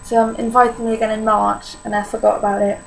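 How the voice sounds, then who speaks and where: A woman's voice: fairly high pitch, little pitch movement, fast talker, gravelly, background noise. An eighteen-year-old woman from Poland with a Polish accent, talking candidly to camera in a personal vlog, with many fillers. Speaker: vlogger.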